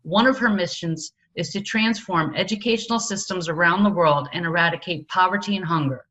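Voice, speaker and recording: A woman hosting, reading a formal prepared introduction of a guest in a measured way. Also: fairly low pitch, a bit bright, dull audio.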